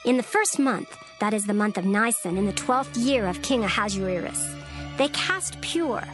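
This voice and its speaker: A woman narrator reading dramatized scripture in a measured, formal storytelling manner. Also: medium pitch, expressive, music in the background.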